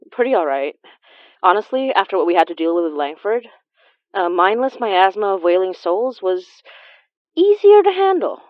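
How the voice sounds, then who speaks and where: A woman's voice: mid-range voice, sing-song, normal speed, no room sound, dull audio, close to an everyday voice. An actress playing an awkward, guarded woman investigator with dry wit and a hesitant way of talking in an audio drama. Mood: dry, wry. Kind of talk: naturalistic audio drama acting.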